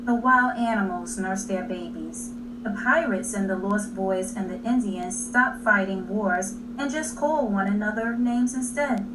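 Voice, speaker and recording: A woman reading a children's story aloud in an expressive, deliberate way, leaning on key words. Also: medium pitch. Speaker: storybook reader. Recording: distant mic with clear background noise.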